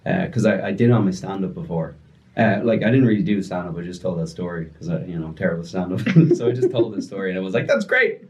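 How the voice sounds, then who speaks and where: Low-voiced man, joking and rambling. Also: big pitch swings, a little mellow, lo-fi sound. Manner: joking, rambling, quick asides. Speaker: podcast guest, music producer and DJ. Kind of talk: casual storytelling chat.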